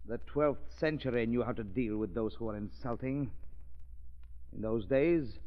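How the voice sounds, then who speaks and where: A man's voice: medium pitch, moderate pace, lightly acted. Haughty, bitter millionaire played by a man, muffled. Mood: bitter, vengeful. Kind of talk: 1930s radio drama acting. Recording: dull old radio recording.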